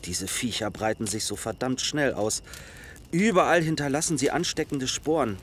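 A man's voice: mid-range voice, moderate pace, slight background noise, lightly acted. An actor playing an astonished, welcoming male survivor in a German zombie audio drama. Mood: alarmed.

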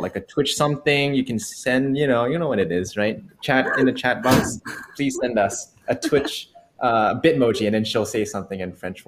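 A young man hosting a casual chat over a video call, friendly and quick to laugh, on an earphone mic at home. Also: mid-range voice, a little hiss or hum. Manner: friendly, chatty, laughs often. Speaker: host. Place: home room.

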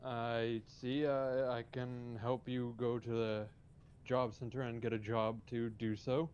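A man playing a polite, hesitant police officer in improvised game roleplay, speaking in a halting, matter-of-fact way. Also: flat delivery, darkish tone, close to an everyday voice. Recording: dull lo-fi mic with faint rumble.

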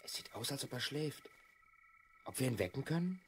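A male actor playing an earnest, excitable twelve-year-old boy from the future in a children's audio drama. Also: medium pitch, moderate pace, slight background noise, natural voice. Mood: brisk, teasing. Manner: earnest, exclamatory, emphatic.

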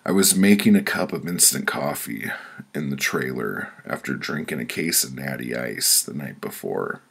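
A man with a rough, gravelly voice reading his own crude, profane comic stories in a casual, blunt first-person way. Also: rumbling low, lively intonation, moderate pace, a bit bright. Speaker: author reading their own audiobook.

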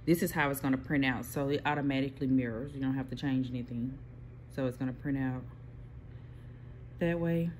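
A person casually explaining printer software step by step, with frequent pauses and restarts, recorded on a phone aimed at a computer screen. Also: mid-range voice, steady tone, a little hiss or hum. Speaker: small print shop owner giving a software tutorial. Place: indoor workspace at a computer. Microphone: phone filming a computer screen.

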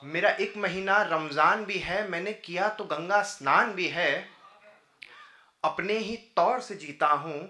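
Male poet, thirties, very bright forceful tone, declamatory and rhythmic, roomy hall sound. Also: high-pitched, measured pace. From India. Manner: declamatory, rhythmic repeated phrasing. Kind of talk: live Urdu-Hindi poetry recitation. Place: indoor auditorium stage at a podium. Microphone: podium microphone. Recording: roomy auditorium sound through PA microphone.

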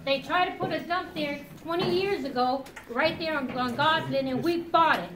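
Older female resident, high pitch, plain-spoken testimony, picked up from a distance. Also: normal speed, noisy background. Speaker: local resident giving testimony. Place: crowded library meeting room, indoors. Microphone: distant camera. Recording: echoey room, distant mic.

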